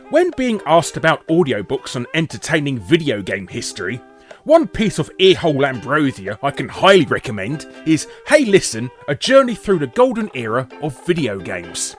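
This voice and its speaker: Very animated male presenter. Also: a little high. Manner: theatrical, jokey emphasis. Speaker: host of a video game list show. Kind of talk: scripted comic narration. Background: light background music.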